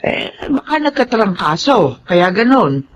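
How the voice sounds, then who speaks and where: Animated, scolding Filipina auntie. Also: female voice, a little high, measured pace, lo-fi sound. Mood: exasperated. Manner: scolding, expressive. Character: sarcastic, exasperated older aunt. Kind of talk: Filipino radio drama acting.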